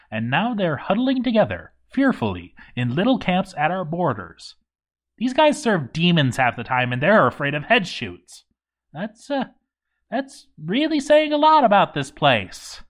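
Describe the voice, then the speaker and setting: A man reading a scripted story as narrator, with a wry, sardonic, sweary comic delivery. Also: a little high. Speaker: narrator reading a game playthrough log.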